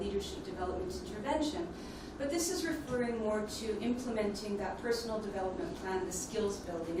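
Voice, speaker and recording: Echoey distant woman giving a conference presentation. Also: medium pitch. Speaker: conference speaker, leadership development specialist. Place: indoor conference plenary room. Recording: echoey hall, distant mic, noisy ambience.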